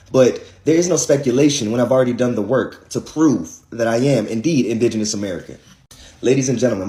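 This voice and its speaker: A man's voice: mid-range voice, a little mellow. A man delivering an assertive, lecturing monologue in a declarative tone. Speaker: online commentator. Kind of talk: lecture-style social media monologue. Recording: roomy echoing recording.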